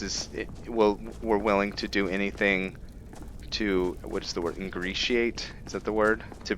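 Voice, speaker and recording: A man with a low voice talks slowly through a roleplay game, casually thinking aloud and pausing to find his words. Faint game ambience sits behind him. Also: bright, forceful tone, a little hiss or hum. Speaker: gaming video host. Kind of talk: casual roleplay gameplay commentary.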